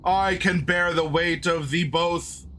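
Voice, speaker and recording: A man voicing a commanding male centaur who speaks in slow, old-fashioned formal phrases. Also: a little high, steady tone, bright, forceful tone, a little hiss or hum, pitched above the performer's own voice. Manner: archaic formal speech, slow and measured.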